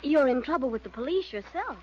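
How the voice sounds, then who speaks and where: Casting: guarded, defiant woman in a vintage radio mystery, fairly high voice, muffled noisy sound. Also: animated, a little fast, a little mellow. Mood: guarded, curt. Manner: cool, curt. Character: guarded, defiant woman, curtly refusing help. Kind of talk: 1940s radio drama acting. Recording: muffled vintage radio recording, clear background noise.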